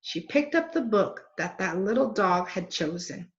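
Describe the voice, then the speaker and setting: A woman reading a children's book aloud in a deliberate, expressive way. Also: medium pitch. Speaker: read-aloud storyteller. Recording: roomy, dull lo-fi recording.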